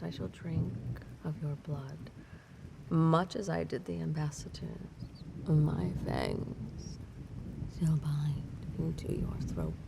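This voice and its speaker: An ancient vampire mistress acted by a woman speaks low and very slowly in an intimate audio drama. She is imperious and predatory, drawing out words in a seductively menacing, breathy half-whisper. Faint ambient noise sits behind her voice. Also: exaggerated voice. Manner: slow, theatrical, breathy half-whispered delivery with drawn-out words. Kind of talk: intimate scripted audio roleplay monologue.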